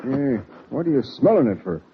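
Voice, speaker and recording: An actor playing a flustered, folksy sheriff in an old Western radio drama, easily confused and exasperated. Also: male voice, mid-range voice, very animated, normal speed, phone-quality sound, slight background noise.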